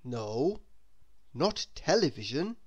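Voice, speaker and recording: A woman's voice: a bass voice, very animated, slow, darkish tone, muffled recording. A woman reading a children's storybook aloud, expressively and as if speaking straight to young listeners.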